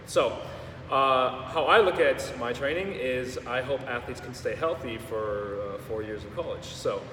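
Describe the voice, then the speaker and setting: A man's voice: mid-range voice, unhurried, a little hiss or hum. A young man, a strength coach, talking loosely and joking in a casual interview. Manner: relaxed, joking, explains in long loose runs. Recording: echoey room, mic far off.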